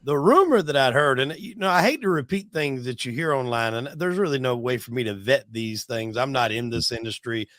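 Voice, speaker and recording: A man's voice: mid-range voice, lively intonation, brisk, hardly any pauses, fairly bright tone, dry recording. Careful male interviewer, dry sound. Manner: hesitant, careful, hedging what he repeats. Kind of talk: conversational interview.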